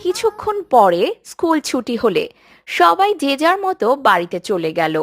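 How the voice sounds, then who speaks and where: A woman's voice: high voice, wildly swooping pitch, normal speed. A woman reading scripted story narration.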